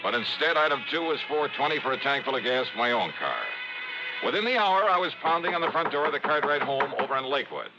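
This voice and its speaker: A man's voice: medium pitch, animated, quick pace, loud music behind the voice, close to an everyday voice. A man playing a brash, exasperated, wisecracking American insurance investigator in old-time radio drama style. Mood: wry narration. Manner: incredulous, wry, punchy. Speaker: lead actor and narrator. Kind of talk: 1950s-60s radio detective drama acting. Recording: dull, noisy old radio recording.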